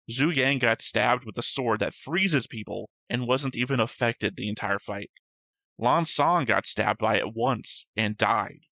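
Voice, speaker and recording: Bright-voiced man, chatty and self-deprecating. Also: mid-range voice, gravelly, no echo. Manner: chatty, self-deprecating, long winding explanations. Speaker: reaction show co-host. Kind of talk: casual discussion of an anime episode.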